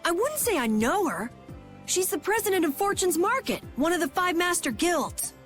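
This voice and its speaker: A woman voicing an eager, naive young boy adventurer in a video game, earnest and excitable. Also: extremely high pitch, normal speed, faint music behind. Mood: curious. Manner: earnest, boyish. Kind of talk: video game dub acting.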